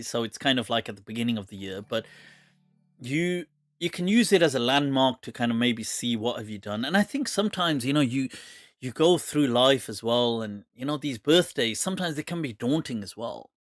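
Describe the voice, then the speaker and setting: A man's voice: medium pitch, a bit bright, no echo, studio quality. A man in his forties hosting a live question and answer show, chatting casually and laughing often. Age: 40s. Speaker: host answering viewer questions.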